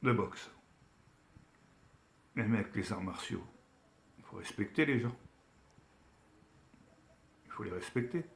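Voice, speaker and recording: Low-voiced man, emphatic, in an echoey room. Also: lively intonation, slow pace, vocal fry, pauses often. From Algerian. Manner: colloquial street French, emphatic, admonishing. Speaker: online video commentator. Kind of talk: casual opinion rant to camera.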